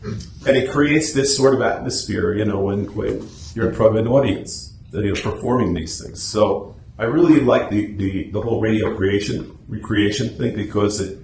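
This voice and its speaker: A man speaks informally to a club, explaining and telling anecdotes. His voice sits on the low side, in an echoey meeting room with faint noise behind him. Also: normal speed, creaky, darkish tone. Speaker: guest speaker, radio drama society officer. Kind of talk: informal club presentation and discussion.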